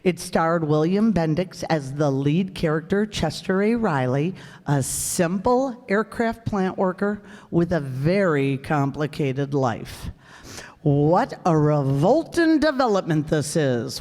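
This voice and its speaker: In an echoing hall, a woman presenter gives a prepared introduction. Her voice sits a little low and she takes her time. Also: a little mellow. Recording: echoey hall stage recording.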